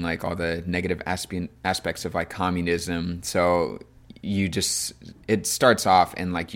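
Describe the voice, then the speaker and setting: A man with a low voice hosts a film discussion. His phrasing is loose and conversational, like someone chatting with friends while steering the show. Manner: informal, loose phrasing full of hedging "like". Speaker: podcast host.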